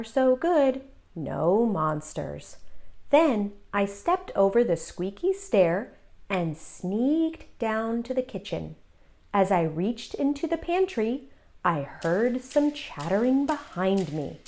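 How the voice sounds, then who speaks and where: A woman reading a children's picture book aloud as an expressive, theatrical narrator with dramatic pauses and emphasis. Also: mid-range voice. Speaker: children's story reader.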